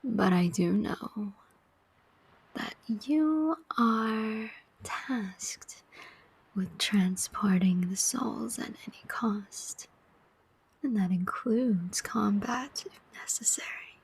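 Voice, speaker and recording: A woman's voice: mid-range voice, exaggerated voice, low-quality recording. A woman voicing a cunning, taunting female demon collector of souls in a whispered ASMR roleplay. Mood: smug. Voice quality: breathy whisper. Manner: slow, deliberate, taunting.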